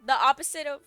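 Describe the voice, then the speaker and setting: A young woman giving casual, slangy, expressive reaction commentary as she plays a game. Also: sits high. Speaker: game streamer.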